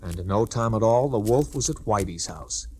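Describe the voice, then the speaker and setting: A man's voice: lowish voice, animated, normal speed, dark tone, soft background music. A man narrating a scripted children's story in the style of a 1960s record. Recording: old 1960s record, clear background noise.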